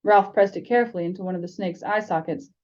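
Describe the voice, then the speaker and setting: A woman's voice: medium pitch, fairly even intonation, fast, a little mellow, highs cut off. A woman reading a story aloud as scripted audiobook narration. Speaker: audiobook reader.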